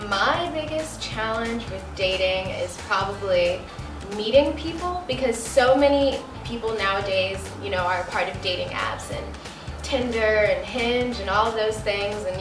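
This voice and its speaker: A woman in her twenties talking frankly and casually on a studio sofa, filler words throughout. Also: medium pitch. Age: 20s. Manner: chatty, frank, many likes and you knows. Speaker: panel guest. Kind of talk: candid casual chat. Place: studio set with sofa, indoors. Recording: roomy, distant, music bed.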